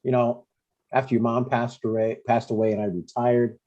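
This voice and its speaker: A man's voice: medium pitch, level tone, moderate pace, dark tone, lightly acted. A man playing an older widowed father and retired deacon, earnest, blunt and a little hesitant, in a scripted comedy table read. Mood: earnest, gentle. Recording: dull, lo-fi sound.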